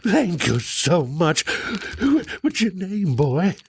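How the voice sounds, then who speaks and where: A man playing a sly, sinister werewolf with a husky voice in an audio drama. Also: sits high, very animated, takes it slowly, no echo, heavily acted. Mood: grateful, sly. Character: sinister werewolf man, slow and falsely grateful. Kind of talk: scripted audio drama acting.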